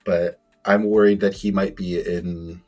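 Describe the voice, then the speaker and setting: Player, male, lower voice, relaxed and hesitant, faint music under. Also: unhurried. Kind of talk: casual tabletop game chat.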